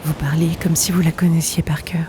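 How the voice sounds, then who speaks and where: Woman playing a hushed, grieving, wistful woman. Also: sits low, flat delivery, fairly quick, background noise, lightly acted. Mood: questioning. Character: grieving, wistful woman searching the sea for her lost brother. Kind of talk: French audio drama acting.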